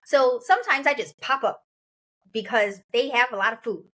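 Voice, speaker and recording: A woman in her forties hosts a relaxed interview, her voice bright and high. She talks lively and warmly repeats what her guest says. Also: normal speed. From Chicago. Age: 40s. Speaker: host. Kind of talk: casual interview chat.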